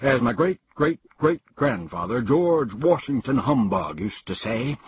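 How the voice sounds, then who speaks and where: A man's voice: mid-range voice, very animated, a little slow, highs cut off, slight background noise, voice pushed up. A man doing a pompous blustering old humbug. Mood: boastful. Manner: bombastic, boastful declaiming.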